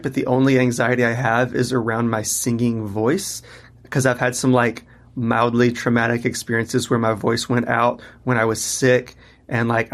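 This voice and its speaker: Man, 30s-40s, musician guest, casual and rambly, flat intonation. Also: mid-range voice. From Mississippi, US. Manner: loose and chatty, many fillers and restarts. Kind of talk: casual interview conversation.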